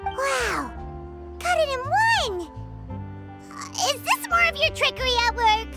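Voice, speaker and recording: A woman voicing a tiny, excitable, curious fairy companion in a video game, with a squeaky childlike voice and a wide-eyed manner. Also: slow, heavily acted. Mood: surprised. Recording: music behind the voice.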